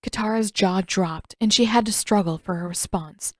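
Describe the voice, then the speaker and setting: Woman reading a fantasy story audiobook style, mid pitch, really dry room. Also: moderate pace, dry recording. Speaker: amateur audiobook narrator. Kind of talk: scripted fiction narration.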